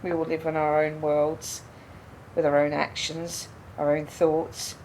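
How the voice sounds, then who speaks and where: An actress playing a quiet, pensive woman burdened by doubt in a radio play, speaking in a reflective, halting way. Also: low-pitched, level tone, a little hiss or hum, rough recording. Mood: pensive, sad. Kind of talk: radio play dialogue, naturalistic.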